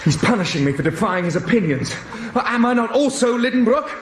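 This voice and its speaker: An actor playing a panicking young German nephew lost underground in a radio drama, pleading and muttering to himself. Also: male voice, high-pitched, expressive, a little fast. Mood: indignant worry. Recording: noisy 1990s radio drama broadcast.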